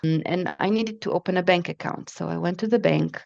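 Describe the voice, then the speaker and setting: Podcast guest: woman, 40s, low and gravelly, loose chatty delivery with fillers, muffled remote-call sound. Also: fairly quick. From Israel. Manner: chatty, with frequent fillers and restarts, lively when retelling exchanges. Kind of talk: casual conversational storytelling. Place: home in the Netherlands. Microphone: remote call recording.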